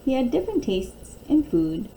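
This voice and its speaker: A woman reading a picture book aloud like a teacher, in an expressive, deliberate storytelling manner. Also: a little high, slight background noise, low-quality recording.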